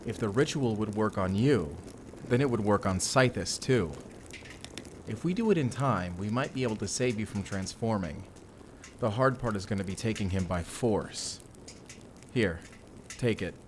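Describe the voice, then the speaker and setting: Male, actor as resolute monster hunter for audio drama; lowish voice, earnest and measured, noisy ambience behind. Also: lightly acted. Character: determined Spanish vampire slayer, adult man, earnest protector. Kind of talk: cinematic audio roleplay acting.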